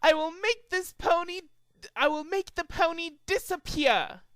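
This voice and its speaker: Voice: very high-pitched, a little slow, a bit bright, no echo, pitched above the performer's own voice. A performer voicing a boastful female pony stage magician, declaiming pompously in a cartoon fan story reading. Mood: showy.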